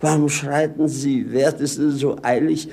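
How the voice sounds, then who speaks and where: Mocking earthy vagabond, theatrical 1950s radio drama, creaky, unhurried delivery. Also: male voice, on the high side, steady tone, a little mellow, exaggerated voice. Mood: mock-grand. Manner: theatrical, bantering, mock-grand declamation.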